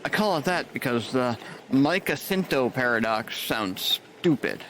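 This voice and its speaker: A man's voice: mid-range voice, animated, unhurried, background noise. A man playing a laid-back, wry male nurse.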